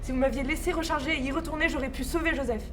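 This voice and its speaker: A woman's voice: high-pitched, steady tone, racing, close to an everyday voice. A woman playing a distraught, defiant science officer in an amateur science fiction audio drama. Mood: bitter.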